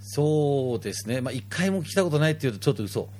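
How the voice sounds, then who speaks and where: A Danish-raised man, a guest, telling his story in quick mixed Japanese and English. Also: medium pitch, lively intonation, a little mellow, a little hiss or hum. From Denmark. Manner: rapid, switches between Japanese and English mid-story. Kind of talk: bilingual casual interview.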